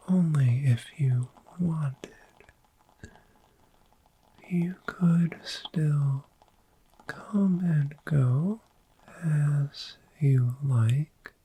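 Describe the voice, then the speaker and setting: A woman voicing a friendly, gentle, sleep-loving dragon in a soft-spoken ASMR roleplay, whispering soothingly and lingering over repeated words. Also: low voice, slight background noise, low-quality recording. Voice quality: breathy whisper.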